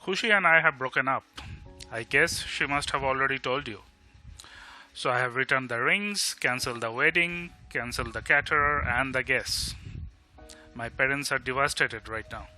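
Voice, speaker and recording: A man's voice: mid-range voice, a little fast, bright, forceful tone, faint music behind. A man with an Indian Bengali accent playing a blunt, sardonic but loyal bachelor friend in an audio drama, with emphatic delivery. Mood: rueful. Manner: sarcastic, emphatic, switches between English and Bengali. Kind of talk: amateur audio drama acting.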